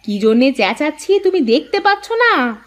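A woman's voice: high-pitched, very animated, normal speed. A woman playing an outspoken village housewife in a cartoon, exclamatory and nagging in rustic everyday speech. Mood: astonished. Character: outspoken village housewife, scolding yet easily amazed. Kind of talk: cartoon moral-story voice acting.

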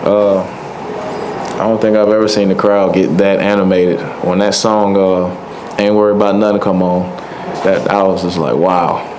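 A young man speaks into a table microphone at a postgame press conference. His voice sits low and stays flat, and he talks in a relaxed, soft-spoken way with many fillers. Steady hiss runs under the room. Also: normal speed. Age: young adult. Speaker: college football player. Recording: noisy press room audio.

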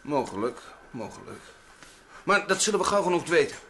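An actor playing a brusque middle-aged doctor and scientist in an old radio drama, talking gruffly and matter-of-factly. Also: male voice, a little high, very animated, normal speed, fairly bright tone, slight background noise. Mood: determined. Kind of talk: 1960s Dutch radio drama acting.

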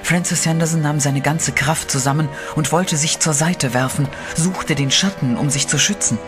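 A man narrating a German radio horror play in a steady, dramatic storytelling voice. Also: a little high, normal speed, music in the background.

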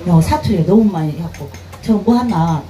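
A woman's voice: lowish voice, lively intonation, normal speed, dark tone. A middle-aged woman from Korea's Jeolla region with a strong Jeolla accent, a festival performer chatting and teasing between songs on a handheld mic. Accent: Jeolla Korean dialect. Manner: chatty, teasing, earthy, bantering with audience. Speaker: pumba street performer and singer. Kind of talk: live stage banter between songs. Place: outdoor festival stage with loudspeakers. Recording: echoey outdoor PA sound with music.

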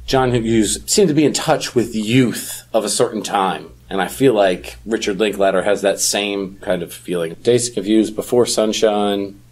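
Husky, low-pitched man chatting casually. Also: normal speed, a little mellow. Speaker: podcast co-host. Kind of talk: casual chat.

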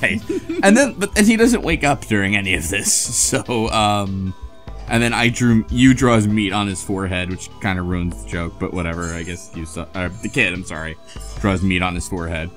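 A man chatting casually as a podcast co-host, retelling a game's story in a halting, hesitant way. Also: deep voice, lively intonation, a bit bright, soft background music. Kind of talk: casual chat, recapping a game story.

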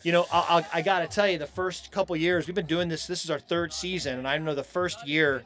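A middle-aged American man being interviewed casually outdoors on a handheld mic, speaking in halting, stop-and-go phrases. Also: a little high, steady tone, a bit bright. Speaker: hemp farm owner being interviewed. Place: outdoors on a festival lawn. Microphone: handheld microphone.

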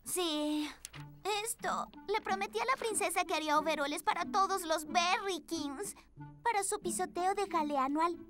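A woman with a Latin American accent voicing a sweet, earnest, excitable young girl in a children's cartoon dub, speaking expressively. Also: very high-pitched, moderate pace. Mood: dejected. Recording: children's music behind the voice.

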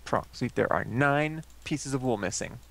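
A man chatting casually while playing a game, teasing and quick to laugh, on a headset mic. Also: medium pitch, animated, vocal fry, a bit bright. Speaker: let's play co-host. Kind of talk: casual gaming chat.

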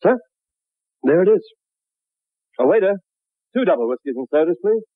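A man's voice: high-pitched, lively intonation, moderate pace, dry recording. An actor playing a shaken man who recounts a grisly horror tale over whiskey in a 1960s radio drama. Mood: resigned, uneasy. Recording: muffled vintage radio recording, narrow band.

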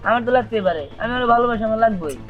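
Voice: mid-range voice, very fast, phone-quality sound, over loud music, natural voice. An actor playing a protective, defiant young man in love in a cartoon drama. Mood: annoyed.

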